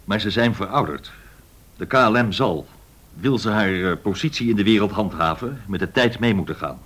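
Gruff old-time airline director in a radio play, low rough voice, blunt and emphatic. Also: male voice, animated, normal speed, fairly bright tone. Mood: curt, assertive. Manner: curt, emphatic. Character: brusque, forward-looking male airline director of the 1930s. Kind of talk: Dutch radio drama acting.

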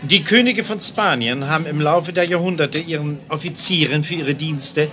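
Sober, bright-voiced railroad aide. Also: male voice, a little high, moderate pace. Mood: explanatory. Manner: precise, factual, explanatory. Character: sober, matter-of-fact German railroad aide, unflappable. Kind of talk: 1970s German radio play acting.

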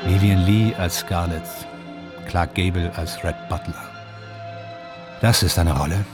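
An actor playing an older film insider who reminisces wryly in halting, musing fragments, with a husky voice, in a radio drama. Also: male voice, low-pitched, fairly even intonation, over loud music. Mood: nostalgic, musing. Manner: halting, musing, short fragments, chuckling asides. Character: old German film insider wryly reminiscing about bygone days.

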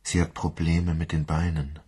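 An actor playing a lonely, timid man who speaks hesitantly and quietly. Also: extremely low pitch, level tone, normal speed, slightly rough, full character voice. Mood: wistful. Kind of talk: radio drama acting.

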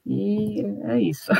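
A woman's voice: medium pitch, creaky, husky. A woman artist and composer briefly introducing herself on a video call. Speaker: artist, composer and music researcher. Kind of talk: short self-introduction in a virtual meeting.

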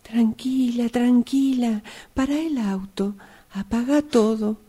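A woman's voice: a little high, takes it slowly, warm tone, husky. An Argentine woman acting in a radio drama as a cocksure, insistent navigator in a car. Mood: breezy, insistent. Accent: Argentine, Rioplatense.

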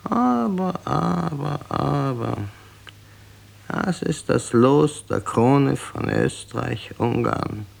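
An actor playing a bitter, weary old Austrian emperor in a radio drama, grumbling and brooding with an Austrian accent and a creaky, gravelly voice. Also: male voice, mid-range voice, lively intonation, extremely slow, full character voice. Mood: gloomy, resigned.

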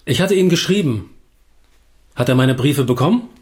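An actor as a hesitant, probing man, lively intonation. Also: a little high, normal speed, natural voice. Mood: awkward. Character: awkward but probing male visitor, reproachful. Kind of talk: radio drama acting, dialogue.

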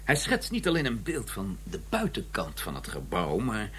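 A man's voice: fairly low pitch, wildly swooping pitch, normal speed, a bit bright. An actor playing a courteous, earnest academic in a radio drama, hesitant and emphatic, repeating his words when flustered. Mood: assured, pleased. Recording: clearly noisy, humming old recording.

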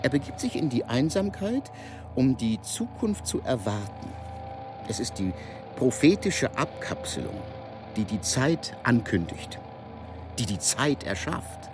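Animated, professorial literary debater. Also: male voice, medium pitch, measured pace. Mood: earnest. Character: earnest, learned male literary debater quoting poets and doubting. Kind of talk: scripted intellectual discussion in radio drama. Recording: music under the voice.

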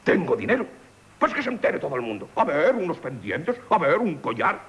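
A man's voice: on the high side, sing-song, brisk, a bit bright, breathy edge, dull audio, heavily acted. A man playing a vain, touchy, penniless marquis in a radio comedy, pompous and blustering, stammering when cornered, with a Castilian Spanish accent. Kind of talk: theatrical radio comedy acting.

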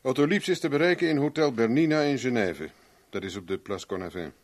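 An actor playing a wry, hard-drinking private investigator in a 1970s radio drama, with a sardonic, dry humour. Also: male voice, mid-range voice, lively intonation, fairly quick, close to an everyday voice. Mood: dry resignation.

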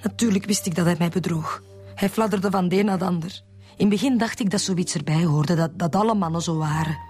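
A lovesick Flemish woman in a radio play, confessing in a husky, fairly low voice. She is hesitant and repeats words as she goes, with faint music behind her. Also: a little fast, darkish tone. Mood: apologetic, wistful. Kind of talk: radio drama acting.

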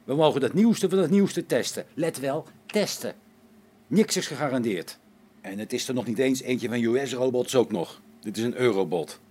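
Grumbling sarcastic space technician, acted by a man, animated. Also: mid-range voice, normal speed, breathy edge. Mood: sarcastic, exasperated. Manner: sarcastic, exasperated complaining. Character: grumbling, sarcastic male space-station technician wary of new robots. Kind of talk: Dutch radio play acting.

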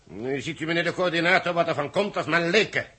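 An actor playing a testy, condescending rocket engineer in a 1960s Dutch radio play. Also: male voice, on the high side, animated, fast talker, pushed and bright, slightly rough, exaggerated voice. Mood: irritated, condescending. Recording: old 1960s radio drama recording.

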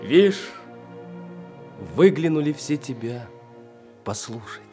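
An actor playing an ardent, bold young prince in love, in a romantic, declamatory radio play style. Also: male voice, a little high, expressive, slow pace. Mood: elated. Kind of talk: theatrical radio play acting. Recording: music playing behind the voice.

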